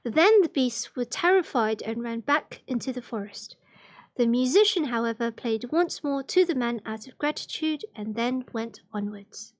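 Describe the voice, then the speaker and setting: A woman reading fairy tales aloud on a livestream in an expressive storybook manner. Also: fairly high pitch, moderate pace.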